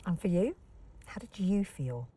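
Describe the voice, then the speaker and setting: An actress playing a probing female interviewer asking soft-spoken questions in a game cutscene. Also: on the low side, sing-song, measured pace, husky, exaggerated voice. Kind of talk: documentary-style interview questions.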